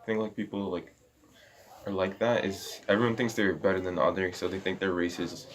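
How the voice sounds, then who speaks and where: A man's voice: deep voice, level tone, brisk, slight background noise. A young man of mixed Sudanese, Egyptian and Moroccan roots, a podcast guest talking casually and hesitantly. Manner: hesitant, many likes. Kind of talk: casual chat.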